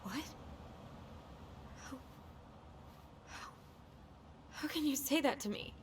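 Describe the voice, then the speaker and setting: Young female game lead, hurt and guarded, naturalistic read; clear background noise on the track. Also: high-pitched, slow pace. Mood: hurt, angry. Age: young adult. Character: guarded, reluctant young woman, hurt and wary. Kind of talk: naturalistic video game cutscene acting.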